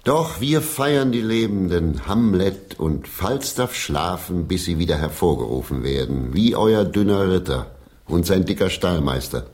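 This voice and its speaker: A hearty old stage player in a period radio drama, sentimental and grandiloquent. His low voice sweeps widely up and down as he declaims dramatically. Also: expressive, a little slow, darkish tone. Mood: reminiscing, solemn.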